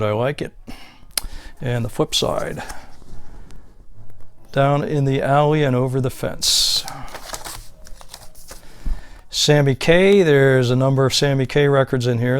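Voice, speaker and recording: Guy casually going thru his collection, listing stuff item by item, medium pitched voice, no script. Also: smooth, no room sound. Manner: unscripted, listing titles and labels item by item. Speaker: record collector presenting his finds. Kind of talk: casual show-and-tell narration.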